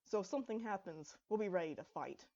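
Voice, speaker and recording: A woman's voice: medium pitch, lively intonation, fast, warm tone, natural voice. Woman as a dutiful female guard captain, slightly muffled. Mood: content, matter-of-fact. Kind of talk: fan fiction story reading.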